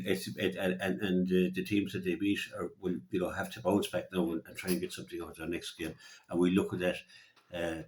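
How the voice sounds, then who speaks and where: Male local radio sports pundit, Irish accent, very low voice, halting off-the-cuff delivery with repeated words. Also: darkish tone. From Ireland. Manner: halting, repeats words as he gathers his thoughts. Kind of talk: unscripted sports commentary and opinion.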